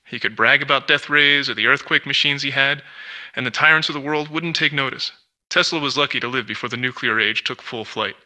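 An actor playing a guarded, paranoid scientist in a video game, speaking in formal, grave, reflective monologue. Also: male voice, medium pitch, brisk, pushed and bright, poor audio. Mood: brooding, reflective.

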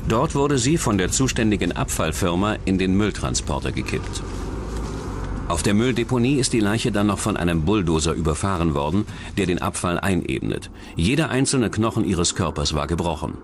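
A man's voice: low-pitched, very animated, moderate pace, background noise. A man reading a scripted true-crime documentary narration as its narrator. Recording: music bed under the voice. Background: background music.

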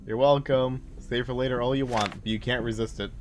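A man's voice: mid-range voice, steady tone, a little fast, over music. A man doing casual playthrough commentary as a gaming host, thinking aloud and talking back to game characters. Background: game ambience.

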